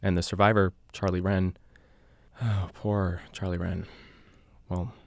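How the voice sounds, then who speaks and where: A man playing an earnest island radio host, narrating an eerie mystery in a measured, conversational podcast style. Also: sits low, flat delivery, creaky, dry recording, full character voice. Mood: earnest, uneasy. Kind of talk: scripted audio drama narration, confessional podcast.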